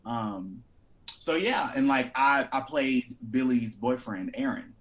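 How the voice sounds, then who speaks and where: A man in his twenties, an actor, talking casually in an animated, playful and informal way. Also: medium pitch, takes it slowly, lo-fi sound. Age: 20s. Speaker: actor and theater artist, guest. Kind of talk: casual conversation.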